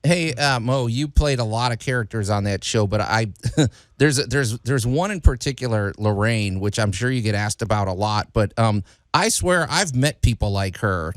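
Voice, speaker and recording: A man from Chicago hosting a radio show, interviewing in an easygoing, halting way with many fillers. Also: medium pitch, animated, no echo. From Chicago, USA. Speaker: radio host.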